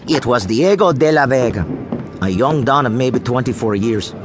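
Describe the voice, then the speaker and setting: A man as a lively, shrewd tavern keeper. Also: mid-range voice, normal speed, background noise, natural voice. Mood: narrating. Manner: deferential with sly, dry needling. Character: portly, shrewd, obsequious colonial tavern keeper and storyteller. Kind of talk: audio drama acting with narration.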